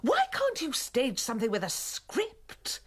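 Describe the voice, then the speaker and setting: Radio comedy role: haughty, touchy matron, emphatic and prim, raised pitch, dramatic swoops. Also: female voice, huge pitch swings, measured pace, slightly rough, full character voice. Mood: disdainful. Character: haughty, easily offended middle-aged divorced mother. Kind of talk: radio comedy play acting.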